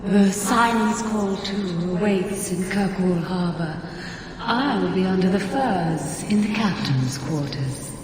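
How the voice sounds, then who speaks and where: Woman voicing a slow, echoing, seductive demon. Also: medium pitch, crowd noise, natural voice. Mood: seductive, taunting. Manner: slow, taunting, sultry. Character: seductive, vengeful female demon tempter. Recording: distant, heavy echoing reverb, noisy ambience.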